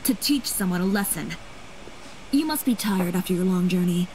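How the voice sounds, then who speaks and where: A woman's voice: lowish voice, expressive, normal speed, a little mellow, a little hiss or hum, close to an everyday voice, low-quality recording. A woman playing a proud, fiery young fashion designer in an anime game, curt and self-assured. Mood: cool, resolute. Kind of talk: anime video game dialogue. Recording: game music behind.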